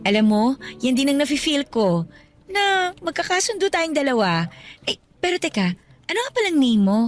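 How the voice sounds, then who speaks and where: An actress playing a modest, slightly nervous young new office hire in a casual, friendly radio drama scene. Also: female voice, high-pitched, sing-song, normal speed, soft background music.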